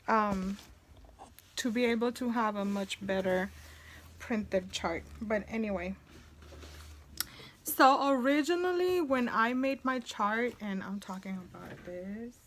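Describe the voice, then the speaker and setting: A woman in her forties whose first language is Spanish talks in an unhurried, drawn-out way. She rambles through a casual vlog, circling back and restarting her sentences as she goes. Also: medium pitch, slight background noise. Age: 40s. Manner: rambling, chatty, many restarts. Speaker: sewing vlogger.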